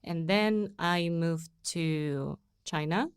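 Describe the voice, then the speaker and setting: A young woman from Kazakhstan, answering interview questions in a measured, plain way. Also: lowish voice, clean tone, no room sound, clean studio sound. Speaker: podcast producer, guest.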